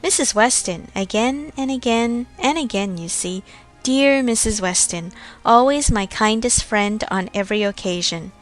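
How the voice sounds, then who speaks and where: A woman's voice: a little high, a little slow, faint music behind, lightly acted, poor audio. A woman voicing a genteel, chatty, self-satisfied young lady of Regency society in a storybook reading. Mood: chatty, fond.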